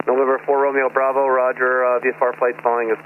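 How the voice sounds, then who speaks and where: A man's voice: medium pitch, little pitch movement, fast. A man working as an air traffic controller, giving clipped procedural instructions over aviation radio. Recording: narrow, noisy aviation radio transmission.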